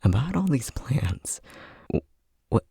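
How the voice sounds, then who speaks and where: A man playing a flustered, tender young boyfriend in an ASMR roleplay, soft-spoken and breathy, hesitating and stammering as he talks. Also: mid-range voice, sing-song, slow, no echo, studio quality, exaggerated voice. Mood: sheepish, flustered. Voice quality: breathy, husky. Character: flustered, tender young boyfriend newly discovering magic powers.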